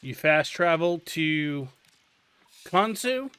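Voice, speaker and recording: Slow, dry-voiced man explaining a game. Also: fairly high pitch, clean tone, dry recording. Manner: relaxed, explanatory, drawn-out. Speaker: host. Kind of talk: game walkthrough commentary.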